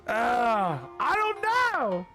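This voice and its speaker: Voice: mid-range voice, wildly swooping pitch, very slow, pushed and bright. A game streamer chatting casually in a slangy, repetitive way. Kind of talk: casual livestream chat. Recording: game music behind voice. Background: video game music.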